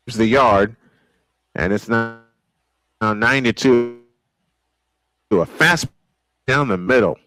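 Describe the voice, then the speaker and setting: A man's voice: medium pitch, a bit bright, no room sound, rough recording. A man announcing a baseball game live, mixing old-school play-by-play with casual asides. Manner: old-school sportscaster delivery.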